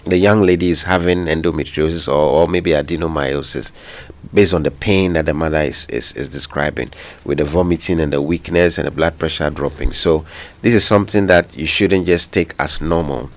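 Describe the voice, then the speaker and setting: A man with a Ghanaian English accent, a doctor answering listeners' health questions on radio in a measured, explanatory way. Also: a bass voice. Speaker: medical doctor guest.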